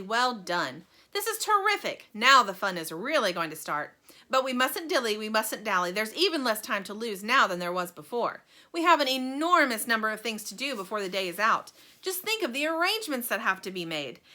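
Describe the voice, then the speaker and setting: An eccentric candy maker bursts with exuberant, theatrical delight, as a woman puts him on for a storybook reading. The voice sits high and bright and swings widely in pitch.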